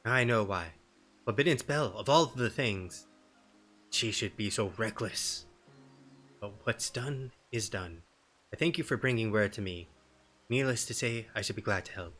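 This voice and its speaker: A man voicing a formal, solemn noblewoman from a fantasy game in measured, archaic diction. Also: lowish voice, a bit bright, faint music behind.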